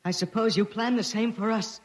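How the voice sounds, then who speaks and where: Squeaky, defiant shrunken prisoner. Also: male voice, steady tone, a little fast. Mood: defiant, bitter. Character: tiny shrunken male prisoner, frantic and defiant. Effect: sped-up, pitched-up tiny voice. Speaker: radio drama actor. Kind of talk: 1950s radio drama acting.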